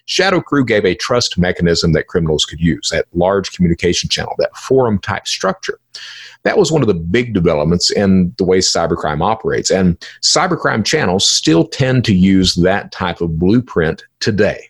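A man's voice: low-pitched, sing-song, normal speed, husky. A man hosting a solo explanatory podcast, talking emphatically, repeating phrases and posing questions to himself. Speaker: podcast host explaining cybercrime.

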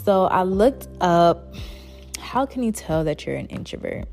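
Soft-spoken young woman podcaster, kinda low voice, rambles with lots of "like"s, faint music underneath. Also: sing-song, unhurried, vocal fry. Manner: rambling, frequent "like" fillers. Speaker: podcast host. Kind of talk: casual solo chat.

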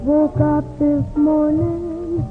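Female child blues singer, aged nine, high voice, unhurried phrasing, music behind her, vintage radio sound. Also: level tone. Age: child, nine years old. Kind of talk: singing slow swing-era blues with accompaniment. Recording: dull band-limited old radio recording.

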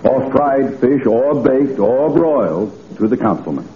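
A man's voice: medium pitch, lively intonation, a little slow, noisy background, lightly acted. A man acting a dignified, wordy town mayor in an old-time radio comedy, formal and precise yet easily flustered. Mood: exasperated. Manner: formal, precise, pompous, prone to sputtering. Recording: tinny, echoey old radio with audience.

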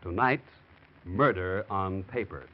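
A man's voice: sits low, slow pace. A man announcing a sponsored mystery show in 1940s radio style. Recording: muffled old radio recording with music.

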